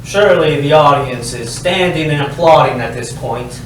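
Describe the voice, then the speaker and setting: A man reading stage directions as scripted narration, with dramatic, deliberate phrasing. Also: fairly high pitch. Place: live staged reading, echoey indoor space. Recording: echoey room, distant mic, faint noise.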